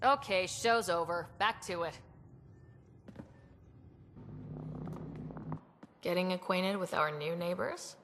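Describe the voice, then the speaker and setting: A woman playing a calm, decisive young spaceship commander in a video game, speaking in a composed, direct way. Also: on the low side, moderate pace, in a large hall, noisy background, natural voice. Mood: firm, composed. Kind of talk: video game cutscene acting.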